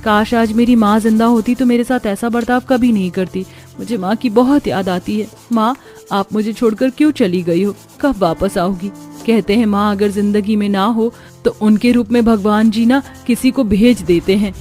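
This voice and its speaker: Lady doing a sad mistreated young orphan niece, plaintive, soft dark tone, music behind. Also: mid-range voice, steady tone, moderate pace, close to an everyday voice. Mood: sorrowful.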